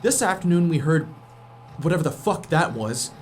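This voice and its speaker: Animated, hot-headed astronaut played by a man. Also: a little high, moderate pace, slight background noise, lightly acted. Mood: wary, arguing. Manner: sardonic, sweary, informal. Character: hot-headed, sceptical male astronaut technician. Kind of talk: science fiction audio drama acting.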